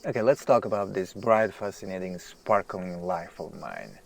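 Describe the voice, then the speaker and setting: An actor playing a famous male writer, agitated, self-deprecating and obsessed with his work, halting and repeating himself in a naturalistic audio drama. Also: low-pitched, animated, gravelly, heavily acted. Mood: wound up.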